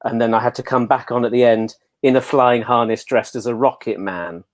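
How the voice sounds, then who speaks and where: Dryly self-deprecating man, middling pitch. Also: steady tone, brisk. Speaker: panel guest, pantomime dame performer. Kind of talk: casual chat.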